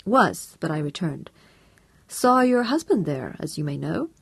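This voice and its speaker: A suave, calculating man speaks politely and casually, keeping his guard up, in a woman narrator's own low voice. The tone is slightly husky and recorded dry and close. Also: very animated, measured pace, dry recording, heavily acted. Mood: smooth.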